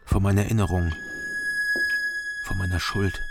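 A man's voice: low voice, level tone, normal speed, loud music behind the voice. An actor playing a guilt-ridden East German man in a radio crime drama, brooding and terse as he tells his story. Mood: brooding, bleak. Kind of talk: radio crime drama, first-person narration and dialogue.

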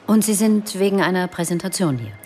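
An actress playing a friendly, discreet office consultant in a naturalistic radio drama, with a casual, reassuring manner. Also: female voice, fairly low pitch, expressive, fairly quick, a little mellow, slight background noise, natural voice. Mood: friendly, candid.

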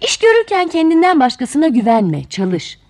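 A woman's voice: high voice, wildly swooping pitch, normal speed, darkish tone, no echo, pitched above the performer's own voice. A woman voicing a wise, stern old village grandfather in a fairy tale, in an admonishing manner. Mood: stern, exasperated. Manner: admonishing, exclaiming.

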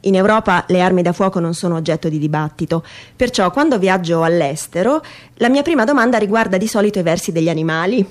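A woman performing a theatrical reading of a humorous first-person essay in a wry, mock-earnest and expressive manner. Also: fairly low pitch, quick pace.

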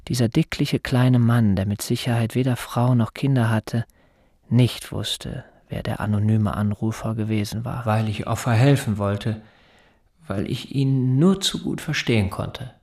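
An actor playing a self-important, intense private tutor in a radio drama, with precise, condescending, literary phrasing. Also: male voice, medium pitch, steady tone, unhurried, a little mellow, natural voice. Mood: secretly scornful. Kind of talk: German radio drama acting, dialogue and inner monologue.

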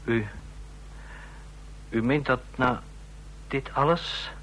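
An actor playing a nervous, submissive subordinate agent, hesitant and deferential, in a radio drama. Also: male voice, medium pitch, drawn-out words, fairly bright tone, low-quality recording. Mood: subdued, anxious. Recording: vintage radio play with faint hum.

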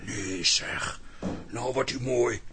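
An actor playing a hot-headed, indignant man of the house, combative and husky, in a radio comedy. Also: mid-range voice, slow, heavy background noise, close to an everyday voice. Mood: defiant. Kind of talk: Dutch radio comedy drama acting.

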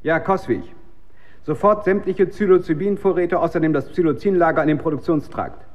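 A man's voice: a little high, fairly quick, natural voice. A man in a German radio drama playing a tormented research chemist who is losing his grip on reality, intense and urgent. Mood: agitated, insistent. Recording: dull, band-limited radio recording.

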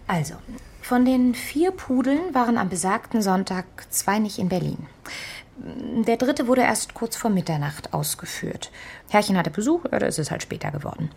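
An actress playing a wry, quick-witted amateur sleuth in a radio crime drama, speaking in a matter-of-fact way. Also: female voice, mid-range voice, very animated, vocal fry, a little mellow, breathy edge, full character voice. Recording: faint noisy ambience behind.

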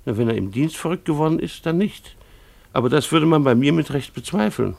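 Harried schoolteacher husband for radio drama: creaky edge, earnest, dryly wry, pedantic and deliberate. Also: male voice, fairly high pitch, expressive, darkish tone. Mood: resolute, explaining. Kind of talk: German radio play dialogue.

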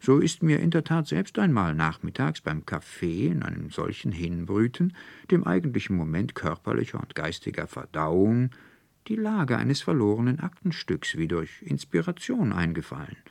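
A man's voice: on the low side, big pitch swings, moderate pace, vocal fry, a little mellow, no echo, voice pushed up, heavily acted. Man playing a fussy, florid registrar. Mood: earnest, florid. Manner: florid, formal, pedantic. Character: courteous, dreamy male registrar, pedantic, fussy, ornate speech.

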